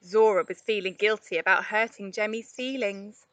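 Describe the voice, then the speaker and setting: A woman reading a children's story aloud in an expressive narrating style. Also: mid-range voice, moderate pace, no room sound, dull audio. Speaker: storybook reader, play therapist.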